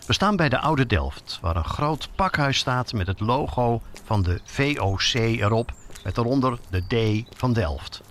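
A man's voice: medium pitch, animated, a little slow, slight background noise. A man hosting a podcast as a journalist, reading scripted narration that explains things directly to listeners.

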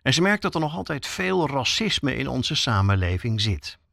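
A man's voice: mid-range voice, very animated, moderate pace, gravelly, pushed and bright, no room sound, clean studio sound. A man narrating a scripted documentary podcast as its reporter.

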